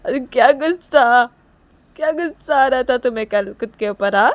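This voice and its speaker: A woman's voice: mid-range voice, moderate pace, no room sound, pitched above the performer's own voice, close to an everyday voice. Woman acting an agitated, scolding, caring young woman. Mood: hurt, reproachful. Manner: agitated, insistent, repeats phrases over and over. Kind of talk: improvised dramatic storytelling dialogue.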